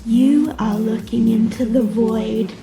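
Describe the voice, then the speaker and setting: A woman playing an ominous, taunting, ghostly voice of dread in a comic dub, speaking deliberately and cryptically with an echo. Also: a little high, fairly even intonation, gravelly. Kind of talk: eerie comic-dub voice acting. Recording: echoing voice over music.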